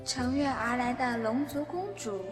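Woman narrating a fantasy audio drama, highish voice, some music under her. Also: steady tone, a little slow. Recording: long reverb, music underneath. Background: background music.

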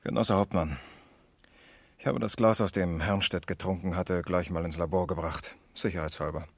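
A businesslike police lieutenant in a seventies radio crime drama. He has a husky, slightly low voice in a dry studio, matter-of-fact and pressing. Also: fairly even intonation, moderate pace, dry recording, full character voice. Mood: pointed. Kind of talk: 1970s German radio crime drama acting.

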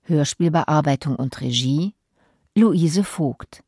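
A woman announcer reads scripted credits for a radio production. Her voice is dark and mellow, and she takes her time. The sound is very dry. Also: low voice, expressive, slow, breathy edge, no echo.

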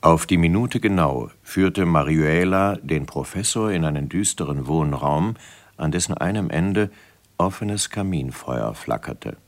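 Casting: male narrator, extremely low voice, measured literary storyteller for vintage radio drama. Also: lively intonation. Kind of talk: scripted narration of a 1970s radio play.

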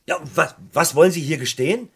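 An actor playing a stern, impatient British police interrogator in a German radio crime play, with a commanding, sarcastic way of questioning. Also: male voice, a little high, expressive, fast talker, creaky, husky, heavily acted. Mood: exasperated.

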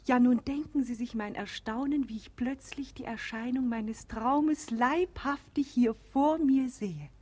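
An actress in a radio play playing a demure young daughter in love, hesitant and dreamy in manner. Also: female voice, fairly high pitch, a little slow, few pauses, darkish tone. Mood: startled, dreamy.

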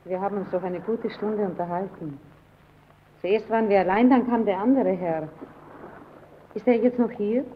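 A woman's voice: mid-range voice, normal speed, long pauses, natural voice. An actress playing a curious, persistent young woman visitor in an old radio play, chatty and politely probing with her questions. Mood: chatty, eager. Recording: dull, noisy old radio recording.

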